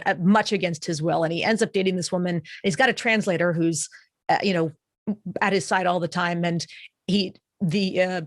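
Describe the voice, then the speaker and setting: A woman's voice: lowish voice, no echo, clean studio sound. An American woman hosting a podcast interview, explaining ideas at length and stringing together examples in a thoughtful, reflective way. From United States. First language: English. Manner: thoughtful, explanatory, lists examples with frequent "et cetera". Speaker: podcast host.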